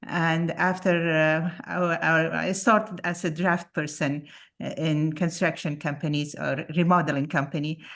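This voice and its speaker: Older woman from Afghanistan, lower voice. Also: flat delivery, a bit bright. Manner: modest, halting, with many fillers. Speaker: architect. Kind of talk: self-introduction in an online meeting. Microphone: video call.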